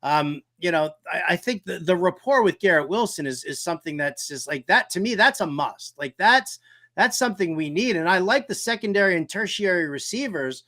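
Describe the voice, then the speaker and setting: A man's voice: high voice, animated, fairly quick, pushed and bright. A man hosting a sports talk show, interviewing casually in a conversational way with a fan's enthusiasm.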